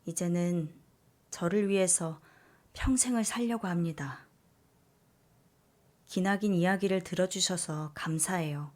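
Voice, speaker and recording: A woman narrating a first-person story aloud, in a calm, even manner. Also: fairly low pitch, measured pace, long pauses. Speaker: story reader.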